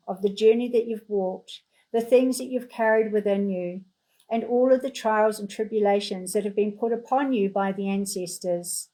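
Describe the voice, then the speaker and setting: A woman leading a guided healing meditation in a soothing voice, speaking in repeated gentle affirmations. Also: medium pitch, poor audio.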